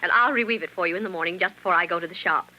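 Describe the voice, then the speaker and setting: An actress playing a jealous, suspicious, insistent wife in theatrical 1940s radio melodrama style. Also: female voice, lowish voice, rapid-fire, pushed and bright, low-quality recording. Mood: guarded.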